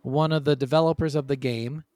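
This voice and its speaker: A man's voice: medium pitch, fairly even intonation, brisk, a little mellow, no echo. Man telling casual stories, dry close sound. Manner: informal, acts out quotes conversationally. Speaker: podcast co-host. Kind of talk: casual chat, anecdotal storytelling.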